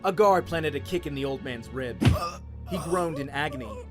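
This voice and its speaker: A man's voice: fairly high pitch, moderate pace, over music. A man narrating a story in a dramatic, scripted audiobook style.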